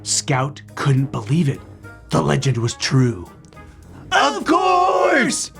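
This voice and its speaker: Very expressive man reading to kids over music. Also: medium pitch, extremely slow, creaky. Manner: playful, expressive. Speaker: co-host of a children's read-aloud show. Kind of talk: animated read-aloud narration for young children.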